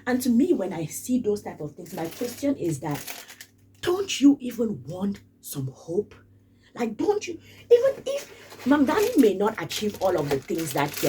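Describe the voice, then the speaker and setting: Woman, vlog commentary, mellow low voice, slightly distant mic, faint background noise. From Cameroon. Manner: emphatic, repeats phrases for stress. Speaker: vlogger. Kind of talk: casual spoken commentary.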